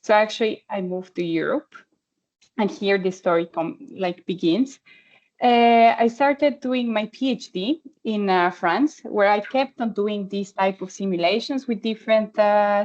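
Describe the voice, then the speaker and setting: A woman's voice: mid-range voice, darkish tone. Woman with a mexican accent doing a friendly online talk, lots of ums, slightly muffled call audio. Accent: Mexican Spanish. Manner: friendly, halting, many fillers. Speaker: postdoctoral researcher giving a testimonial. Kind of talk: online presentation. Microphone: video call. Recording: dull lo-fi video call audio.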